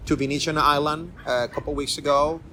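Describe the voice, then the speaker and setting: A man's voice: on the high side, steady tone, fairly quick, bright, forceful tone, a little hiss or hum. A middle-aged man from Rico, hosting a casual interview podcast and rambling through repeated words and restarts. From Puerto Rico. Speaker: podcast host.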